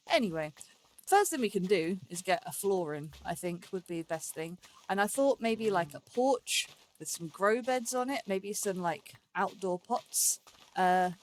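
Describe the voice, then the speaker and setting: A woman's voice: mid-range voice, slight background noise. A woman giving casual let's play commentary as the host of a gaming series, rambling and thinking aloud as she goes.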